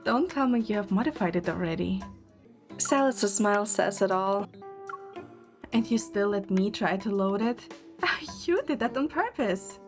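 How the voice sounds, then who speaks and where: Mid-pitched woman narrating an audio drama. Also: animated, a little slow, faint music behind. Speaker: narrator and lead character. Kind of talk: scripted first-person audio drama narration and acting.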